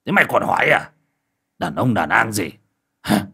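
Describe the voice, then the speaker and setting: A man doing a gruff old village father. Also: sits low, very animated, a little slow, pitched below the performer's own voice, heavily acted. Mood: yelling. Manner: drawling with hesitant "à", bursts into loud scolding.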